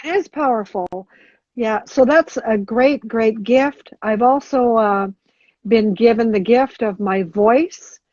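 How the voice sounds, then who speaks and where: A middle-aged woman answering as a guest on a podcast interview, talking haltingly with many restarts and fillers. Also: a little high, darkish tone, no echo. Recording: dull lo-fi sound.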